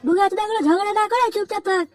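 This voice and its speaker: A performer voicing a bossy young male club organiser in a cartoon comedy, giving brisk orders. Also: very high voice, fairly even intonation, distant mic, over loud music.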